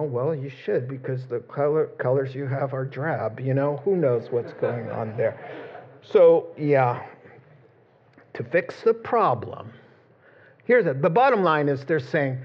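Joking pastor preaching on a dull recording. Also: male voice, a little high. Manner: conversational, joking, acts out quoted voices. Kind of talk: sermon.

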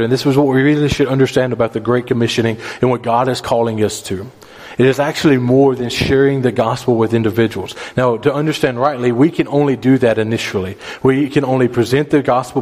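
A man's voice: medium pitch, darkish tone. A man preaching a sermon, explaining scripture verse by verse in an earnest, instructive manner with repeated phrases for emphasis. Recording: reverberant hall.

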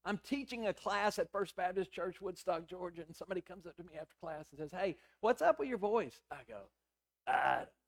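A middle-aged man preaching. His voice is hoarse and raspy yet sits fairly high. He tells stories in a conversational way, acting out the lines of dialogue. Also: expressive, a little fast. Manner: conversational storytelling with quoted dialogue. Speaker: pastor. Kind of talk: sermon.

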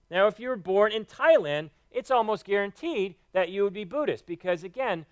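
A man's voice: high-pitched, fairly bright tone, no echo. A man preaching a sermon, teaching earnestly and quoting scripture.

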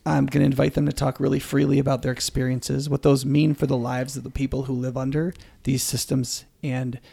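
A man's voice: mid-range voice, flat delivery, brisk, creaky, mellow, slightly rough. A middle-aged American man hosting a podcast interview, explaining things in a conversational way.

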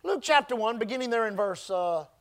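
A man's voice: sits high, lively intonation, fairly bright tone, clear, resonant tone. A man preaching a sermon as pastor, drawing out key phrases emphatically and prompting the congregation to repeat words back. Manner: call-and-response preaching, emphatic drawn-out phrases.

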